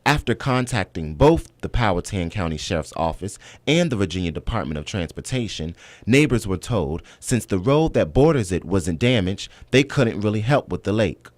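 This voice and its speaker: A man's voice: fairly low pitch, very animated, moderate pace, no echo. An American man narrating scripted television news reports as a reporter.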